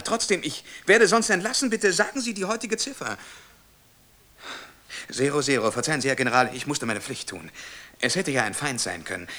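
An actor playing a servile, easily flustered state telephone clerk in a radio play, stammering and officious, quick to turn from indignation to obsequiousness. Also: male voice, on the high side, sharp tone, full character voice. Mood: flustered, submissive. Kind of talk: German radio play acting.

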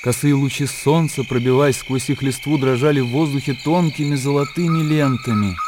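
A man reading scripted literary narration for a radio play in a measured, descriptive storytelling manner. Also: medium pitch, noisy background. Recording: clear outdoor nature ambience behind.

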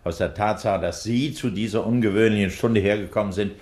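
Actor as a dignified, low-voiced older doctor. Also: male voice, fairly quick, darkish tone, natural voice. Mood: courteous, commanding. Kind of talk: 1960s German radio crime serial acting.

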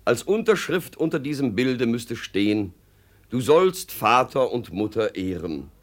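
A kindly village pastor in a vintage radio play. His mid-pitched voice rises and falls freely as he moralizes earnestly, unctuous and a little hesitant. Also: big pitch swings. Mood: pious, gentle. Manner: unctuous, measured preaching with hesitations. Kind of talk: 1950s German radio drama acting.

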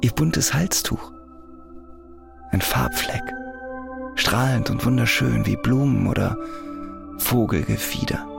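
An actor voicing a tormented prisoner's brooding inner thoughts, slow and introspective, in a radio drama. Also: male voice, medium pitch. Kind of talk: interior monologue in radio drama. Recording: clear music underneath.

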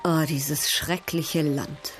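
A haughty European baroness with a fairly low voice. She speaks formally and with poise, coolly ironic and a little flirtatious, in the manner of a radio play. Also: fairly even intonation, slow pace, soft background music. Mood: vexed. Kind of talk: German radio drama acting.

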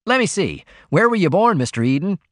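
A man's voice: fairly high pitch, big pitch swings, brisk, no room sound, clean studio sound, pitched above the performer's own voice. A man voicing a brash, self-important young cub reporter in a storybook reading, with an airy, eager manner. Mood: airy, self-assured. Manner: eager, airy, indignant when crossed.

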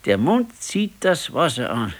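Radio play: ailing old Bavarian man, brooding and resigned, plain halting speech, medium pitch. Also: very animated. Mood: animated recalling. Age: older. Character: ailing, weary, brooding old retired husband. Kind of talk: naturalistic 1970s radio drama acting.

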